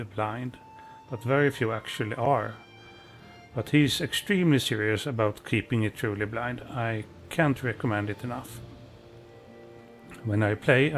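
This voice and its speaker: A calm, modest man with a Swedish accent, from Sweden, giving self-deprecating gameplay commentary as a let's play host. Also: medium pitch.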